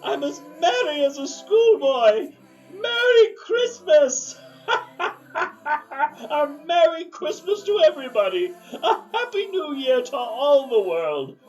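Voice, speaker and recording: An actor playing an exuberant, reformed old miser in a theatrical family radio drama, exclamatory and effusive. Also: male voice, very high-pitched, big pitch swings, takes it slowly, heavily acted, poor audio. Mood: giddy joy. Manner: exclamatory, effusive, chuckling, repeats himself. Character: old miser reborn as giddy, exuberant, warmhearted gentleman.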